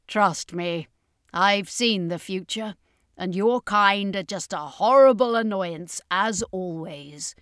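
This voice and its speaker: A woman's voice: medium pitch, slow pace, fairly bright tone, dry recording, studio quality. A woman playing a commanding circus master. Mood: contemptuous. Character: commanding male circus master, resolute vampire hunter.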